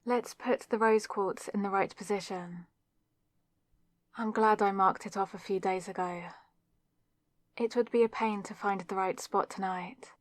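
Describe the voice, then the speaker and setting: Woman acting slow-talking, lovestruck young witch. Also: medium pitch, fairly even intonation, no echo, lightly acted. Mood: focused, chatty. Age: young adult. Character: nervous lovestruck young student witch, secretly crushing on classmate. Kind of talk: ASMR audio roleplay, scripted solo monologue.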